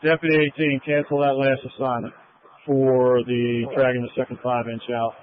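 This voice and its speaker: A man's voice, very flat in pitch, comes over a tinny two-way radio. He commands a fire scene, reporting and directing in matter-of-fact emergency radio language. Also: normal speed, dry recording. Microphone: radio handset.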